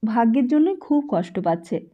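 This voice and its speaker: Woman as earnest meek boy, gentle, hesitant, sympathetic, mellow timbre, audiobook story, dull recording. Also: fairly high pitch, sing-song, normal speed. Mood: earnest, subdued.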